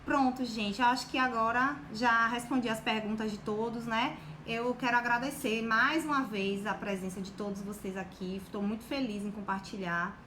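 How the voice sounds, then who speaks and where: A woman's voice: fairly high pitch, steady tone, moderate pace, few pauses. Encouraging Bahian woman on a live stream. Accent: Bahian Portuguese. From Bahia, Brazil. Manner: warm, encouraging, full of fillers like "né" and "tá". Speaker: guest on a live stream about exam preparation. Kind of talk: casual motivational talk to a live audience. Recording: echoey room with faint background noise.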